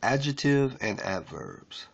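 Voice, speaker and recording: A man casually streaming as he works through a language lesson, rambling with fillers and reading aloud haltingly with restarts. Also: medium pitch, sing-song, vocal fry, breathy edge, lo-fi sound. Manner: rambling, loose and unpolished, with many fillers. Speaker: self-taught learner reading a lesson. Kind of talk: casual screen-share stream.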